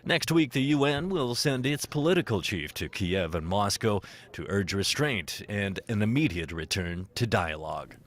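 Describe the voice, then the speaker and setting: A man's voice: fairly low pitch, lively intonation, moderate pace. A man reading scripted news narration as a reporter.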